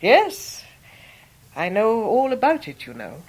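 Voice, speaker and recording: Elderly great-aunt, English accent, blunt, brisk, fond, period radio drama. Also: female voice, a little high, huge pitch swings, a little mellow, full character voice. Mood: brisk gossip.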